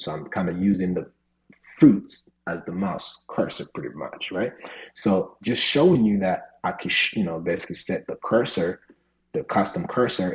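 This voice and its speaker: A man's voice: low voice, rough recording. A man teaching a live online coding class over a video call, talking casually with lots of "right?" and "you know". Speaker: coding instructor.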